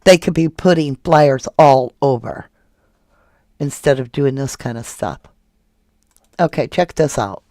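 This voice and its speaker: A woman's voice: extremely low pitch, unhurried, long pauses, mellow, no echo. An indignant woman hosting a true crime stream, reading viewer comments and firing rhetorical questions. Her voice is close and dry, with no room sound around it. She talks casually and keeps pressing her point. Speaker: true crime channel host.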